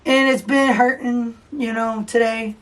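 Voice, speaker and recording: A hoarse woman hosting a casual podcast, rambling with fillers and restarts. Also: a little high, fairly even intonation, measured pace. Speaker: podcast host. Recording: voice distant from the mic.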